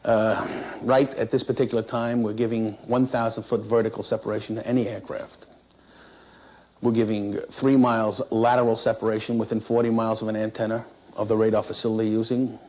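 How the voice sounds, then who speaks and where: A man who works as an air traffic controller recounts his experience in an interview in a halting, plain-spoken, matter-of-fact way. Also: medium pitch, a little mellow. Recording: noisy 1960s interview recording.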